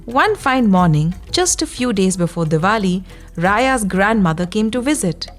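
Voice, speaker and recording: A woman tells a children's bedtime story in a gentle, soothing way. Her voice sits at a middle pitch. Soft music plays behind her as she speaks directly to young listeners. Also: big pitch swings. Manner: slow, soothing guidance addressed to young children. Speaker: children's storyteller and yoga guide.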